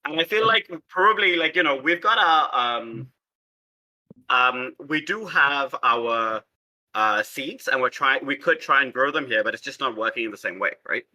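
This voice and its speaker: A man's voice: medium pitch, lively intonation, normal speed, sharp tone, poor audio. A man chatting casually with a group as a tabletop roleplay player, building a game world together.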